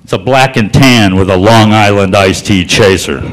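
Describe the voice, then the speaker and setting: A man performing a stand-up comedy set in a comedy club, telling stories in a deadpan, folksy drawl that builds to loud punchlines. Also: on the low side, moderate pace, rough recording.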